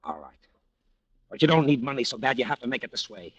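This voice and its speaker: A man's voice: mid-range voice, big pitch swings, fast talker, fairly bright tone, no echo. An actor playing a suspicious, sardonic tough guy in an old radio thriller, speaking in clipped slang. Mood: bitter. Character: wry, suspicious, hard-boiled tough guy. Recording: dull, lo-fi vintage radio recording.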